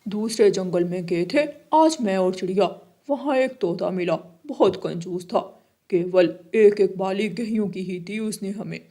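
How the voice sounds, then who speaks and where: A woman's voice: medium pitch, unhurried, mellow, pitched below the performer's own voice. A woman voicing a sly crow husband in a Hindi cartoon story, talking in a gossipy, matter-of-fact way. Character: sly male crow husband, thieving, slow-witted.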